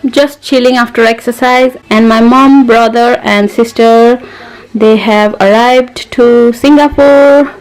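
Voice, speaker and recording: A woman's voice: fairly high pitch, slow, poor audio. A young woman whose first language is Nepali, chatting casually through her day on a home vlog. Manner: relaxed, chatty, talks straight to camera. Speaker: vlogger. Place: home, indoors.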